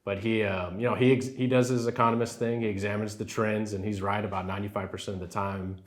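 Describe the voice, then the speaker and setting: A deep-voiced man, talking easily in an interview. He is affable and polite, fills his answers with "you know" and "yes sir," and keeps a relaxed conversational flow. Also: fast talker. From Rio Grande Valley, Texas. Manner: affable, many fillers, says yes sir often. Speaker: title company president.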